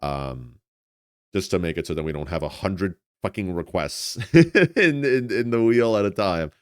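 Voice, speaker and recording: A man's voice: sits low, lively intonation, measured pace, smooth, no echo, studio quality. A man in his twenties, a native Spanish speaker, chatting casually as a livestreamer in a rambling way, often repeating words. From United States. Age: 20s. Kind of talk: casual chat with stream viewers.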